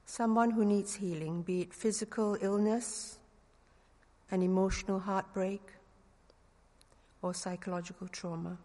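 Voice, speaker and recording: A woman's voice: mid-range voice, dark tone. Singaporean woman preaching in a reverberant church. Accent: Singaporean English with a touch of London slang. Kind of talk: sermon.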